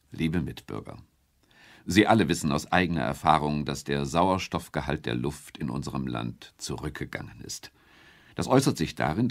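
An actor playing a pompous, evasive head of government in a radio play, speaking in a stately, gravelly, husky voice. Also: male voice, extremely low pitch, a little mellow, heavily acted. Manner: stately, halting speechmaking. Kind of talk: German radio play acting.

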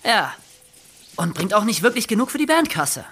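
A woman's voice: medium pitch, very animated, normal speed, fairly bright tone, slight background noise. A woman playing an eager, slightly unsure young girl bandmate in a German audio drama. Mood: frustrated.

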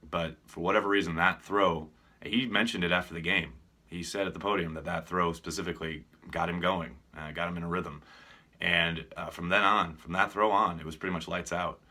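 A man's voice: sits low, pushed and bright. A middle-aged American man chatting casually about sports to camera as a reporter hosting a segment. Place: small furnished room at home, indoors.

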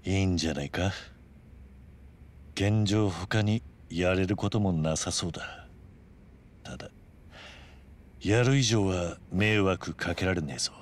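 A man's voice: low voice, measured pace, pauses often. A man playing a stoic, serious middle-aged tough guy in a video game, terse and understated. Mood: grave, reserved. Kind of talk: scripted Japanese video game dub.

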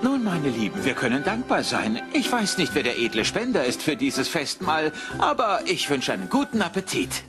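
A man's voice: on the high side, big pitch swings, moderate pace, few pauses. A man playing a timid, kindly clerk and family father in a cartoon dub, polite and hesitant. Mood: warm. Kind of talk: German cartoon dub acting. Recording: loud music under the voice.

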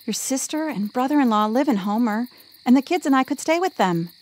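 An actress playing a gentle, encouraging wife and mother in a family audio drama, with a husky, breathy voice. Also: fairly high pitch, lively intonation, a little fast, close to an everyday voice. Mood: cheerful, coaxing.

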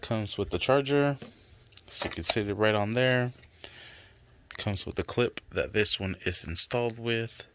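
A man's voice: fairly low pitch, measured pace, fairly bright tone. A man, a dad, casually narrating an unboxing review in a laid-back, plain-spoken way in a bedroom. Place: bedroom, indoors. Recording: noisy handheld home recording.

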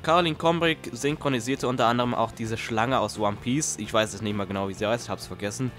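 A man's voice: mid-range voice, brisk, a bit bright. A young man hosting a casual, flippant video commentary. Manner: flippant, jokey. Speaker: YouTube host. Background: background music with guitar.

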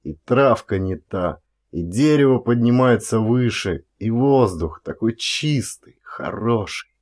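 A man reading literary prose as an audiobook narrator, with measured phrasing and drawn-out emphasis on certain words. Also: fairly low pitch, lively intonation, a little mellow.

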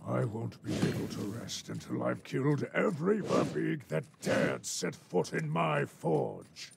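A man voicing a gruff, battle-hardened dwarf warrior in a video game, gravelly and barking. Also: mid-range voice, animated, a little slow, very noisy. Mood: grim. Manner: barking, combative. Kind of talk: video game character lines.

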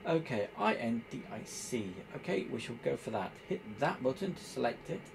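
A man giving an informal hands-on tutorial, explaining step by step in a halting, hesitant way. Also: mid-range voice, big pitch swings, vocal fry, noisy background. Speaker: presenter demonstrating radio equipment.

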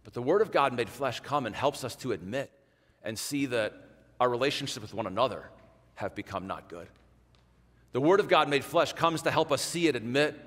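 A man in his forties preaching a sermon in a large church. He speaks conversationally with animated gestures and drops to a hushed voice for emphasis. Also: medium pitch. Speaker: pastor. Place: large church sanctuary in front of an altar. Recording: long church reverb.

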